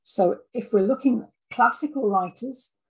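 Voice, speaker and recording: An older woman, over sixty, talking reflectively in an interview, thoughtful and halting as she repeats words. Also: a little high, darkish tone, slightly rough, poor audio. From Scotland. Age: 60s or older. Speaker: interview guest, audio dramatist.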